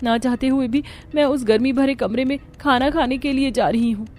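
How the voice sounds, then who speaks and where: A woman playing an irritable, city-bred young daughter-in-law in an animated family story, grumbling and sarcastic. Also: high-pitched, normal speed, natural voice. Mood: sulky, sarcastic. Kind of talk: Hindi animated family-drama story acting.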